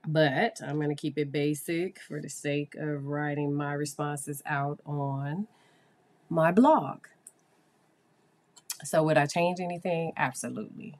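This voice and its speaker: A hoarse woman hosting a psychology podcast, talking casually and explaining things with fillers. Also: low voice, fairly bright tone. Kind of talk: casual solo podcast talk.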